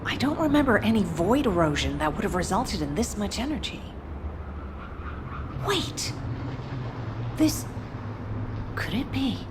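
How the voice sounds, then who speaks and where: A woman's voice: mid-range voice, big pitch swings, a little slow, long pauses. A woman voicing a driven, solemn fighter and mother in a video game, intense and earnest. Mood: puzzled. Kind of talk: dramatic video game voice acting. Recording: clear background noise.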